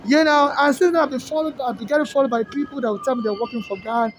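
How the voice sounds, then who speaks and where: A woman rambling through a long personal monologue, halting and restarting often. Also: high-pitched, a little hiss or hum.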